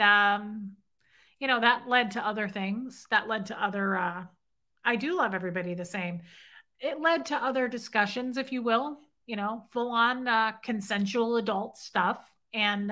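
A woman's voice: medium pitch, unhurried, sharp tone. A woman in her thirties hosting a casual livestream from her living room, teasing and flirty as she reads viewer comments to a webcam. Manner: playful, teasing, flirty banter. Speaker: livestream host. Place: home living room, indoors. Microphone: webcam at desk.

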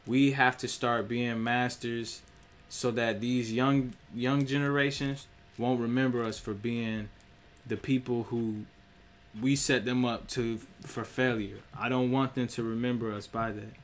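A young man talks straight into his webcam from a small room. He lectures in a loose, preachy way, peppered with swearing and direct appeals to his viewers. His pitch sits at a mid level for a man. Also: flat delivery, unhurried. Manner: profane, preachy, direct address. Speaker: streamer. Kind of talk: casual spiritual monologue.

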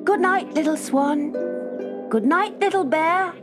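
A woman voicing an eager, sweet little girl in a storybook reading, with wide-eyed, drawn-out questions and calls. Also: very high voice, loud music behind the voice, pitched above the performer's own voice. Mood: happy, affectionate.

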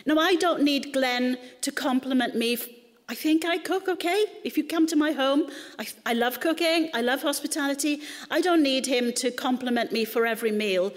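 A woman's voice: high-pitched, normal speed. A middle-aged woman from Wales, giving a chatty, humorous church talk. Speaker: church speaker. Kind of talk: sermon talk. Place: church hall. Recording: long hall reverb.

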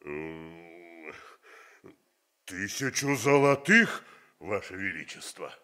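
A man's voice: low voice, animated, takes it slowly, pushed and bright, exaggerated voice. An actor playing a greedy giant farmer in a children's radio play, a brusque and bossy father. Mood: sly, subdued.

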